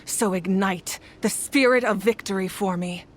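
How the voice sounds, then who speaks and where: An actress playing a solemn, resolute leader of a nation at war in a video game, speaking in a measured way. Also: female voice, medium pitch, a little hiss or hum, close to an everyday voice.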